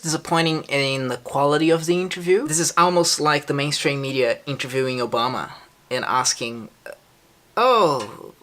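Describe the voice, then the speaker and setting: Man, reaction vlogger, sarcastic, incredulous, very animated pitch. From Brazil. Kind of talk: casual commentary reacting to a clip.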